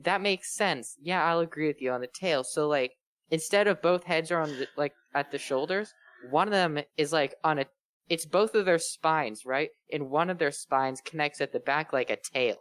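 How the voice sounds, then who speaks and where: A man's voice: a little high, moderate pace, a bit bright, smooth, no room sound. A man co-hosting a fan fiction read-along, chatting casually in rambling, mock-earnest explanations. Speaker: co-host reading fan fiction aloud. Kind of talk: casual chat with joking riffs.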